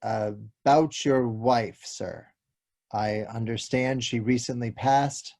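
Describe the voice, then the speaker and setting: A man's voice: medium pitch, takes it slowly, gravelly, a little mellow. A man playing an earnest, slightly awkward police detective in a comic play read aloud. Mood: apologetic. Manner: formal, apologetic. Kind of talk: table-read stage comedy acting.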